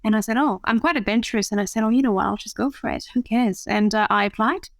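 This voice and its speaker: A woman's voice: on the high side, fast talker, no room sound, clean studio sound. A woman in her thirties, a matchmaker guest in a podcast interview, who talks thoughtfully with frequent fillers and restarts. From France. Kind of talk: interview conversation.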